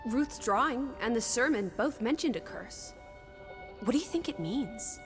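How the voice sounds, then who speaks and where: A woman's voice: a little high, expressive, measured pace, big-room sound, over music. A woman playing a sociable, teasing young companion in a 1920s video game drama, full of playful old-fashioned slang. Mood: curious.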